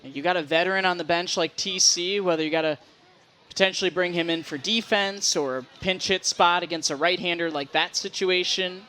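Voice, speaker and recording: Bright, high-voiced man giving baseball analysis. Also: fairly even intonation, fairly quick. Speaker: baseball broadcast co-commentator. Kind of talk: conversational sports analysis. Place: sports broadcast at a baseball game.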